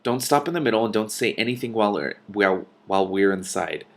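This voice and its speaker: A man's voice: fairly low pitch, fast talker, natural voice. Man reading as a dry, authoritative female dragon. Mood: matter-of-fact. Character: female dragon, matter-of-fact, scornful of foolish princes.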